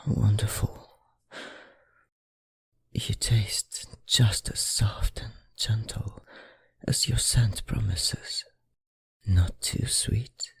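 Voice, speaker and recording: Someone acting a husky, whispery, deep-voiced seductive vampire librarian. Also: no echo, heavily acted. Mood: savoring, pleased. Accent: put-on foreign accent. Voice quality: breathy, husky, often dropping to a whisper. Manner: slow, soothing, courtly formal speech. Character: seductive, teasing vampire librarian feeding on a visitor. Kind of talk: ASMR roleplay.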